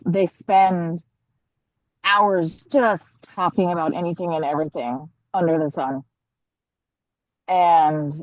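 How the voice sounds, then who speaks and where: A woman from the United States giving casual interview answers as a guest author, halting as she thinks aloud. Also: lowish voice, no echo, muffled recording.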